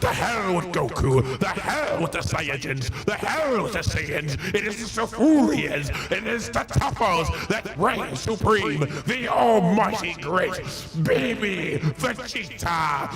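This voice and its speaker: A man voicing an arrogant, vengeful male alien parasite villain in a video game, with a gravelly, sneering, mocking delivery. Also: high-pitched, lively intonation, slow, few pauses, recorded from a distance, pitched above the performer's own voice, full character voice. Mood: gloating. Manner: mocking, gloating taunts. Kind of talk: live voice acting over gameplay. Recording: echoey with loud game music. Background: game music.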